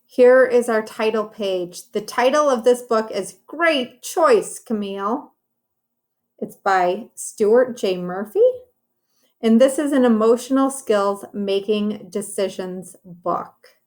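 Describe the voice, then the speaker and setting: Female teacher for a children's read-aloud: higher-pitched, unhurried, simple instructive wording with encouraging asides. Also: a little mellow. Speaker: teacher reading a story to children. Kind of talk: read-aloud lesson for young children, with commentary on pictures.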